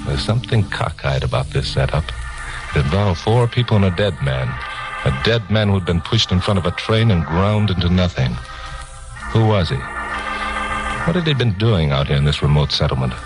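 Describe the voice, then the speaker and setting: A man's voice: low voice, sing-song, moderate pace, rough recording. An actor playing a cool, dogged newsman sleuth in a 1950s radio crime drama, with clipped, terse narration. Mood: grim, brooding. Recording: old 1950s radio recording with music.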